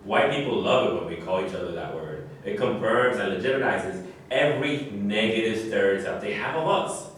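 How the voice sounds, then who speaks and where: A man's voice: fairly low pitch, animated, normal speed, hardly any pauses, lightly acted. Man acting a proud, defensive athlete, echoey and distant. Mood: earnest, offended. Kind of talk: staged play reading. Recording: very echoey room, distant mic, faint noise.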